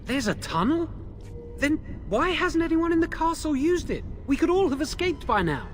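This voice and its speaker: A man's voice: very high-pitched, sing-song, normal speed, soft background music. An actor playing a nervous, remorseful young male mage held in a dungeon, in a video game. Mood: wary. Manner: anxious, remorseful, pleading.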